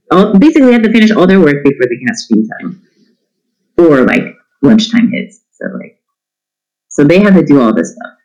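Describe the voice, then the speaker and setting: A man's voice: fairly high pitch, darkish tone, muffled recording. A middle-aged man, chatting casually as an interview guest in a candid, irreverent, self-deprecating way. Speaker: interview guest, homeschooling parent. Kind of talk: casual chat.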